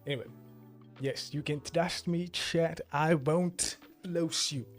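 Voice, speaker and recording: A man's voice: on the high side, steady tone, slow, faint music behind. A South African man in his twenties, chatting casually on a livestream in a joking, rambling way and talking straight to his chat. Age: 20s. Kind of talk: casual live stream chat.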